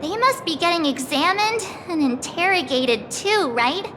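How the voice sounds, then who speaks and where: A woman voicing a cheerful, excitable young girl adventurer in a video game, bubbly and self-deprecating, with game music behind. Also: very high voice, unhurried, a little hiss or hum, exaggerated voice. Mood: encouraging.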